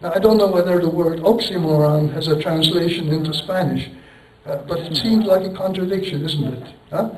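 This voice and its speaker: Male lecturer, rambling and self-deprecating, fairly high voice, distant in an echoey hall. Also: fairly quick. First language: Turkish. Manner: rambling, self-deprecating, poses rhetorical questions, chuckles. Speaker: engineering professor giving a lecture. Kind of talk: conference lecture.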